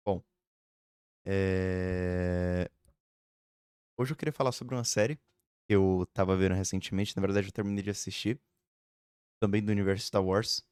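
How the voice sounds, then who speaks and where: A man's voice: low-pitched, fairly even intonation, normal speed, pauses often, a little mellow, smooth, dry recording, clean studio sound. A man in his early twenties, chatting casually and rambling with lots of fillers in a home vlog. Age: 20s. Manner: rambling, chatty, frequent fillers and tag questions. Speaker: vlogger. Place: home room.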